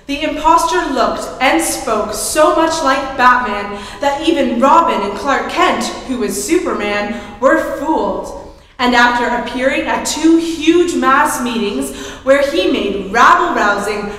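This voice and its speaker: High-voiced woman narrator, very echoey. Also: fairly bright tone. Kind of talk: scripted old-time radio drama narration. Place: theatre stage, live performance. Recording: very echoey hall, some noise.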